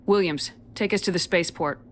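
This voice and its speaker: Woman as a fast-talking, commanding officer. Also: lowish voice, slight background noise, close to an everyday voice. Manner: brisk, direct, commanding. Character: brisk, authoritative female military commander. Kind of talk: video game voice acting.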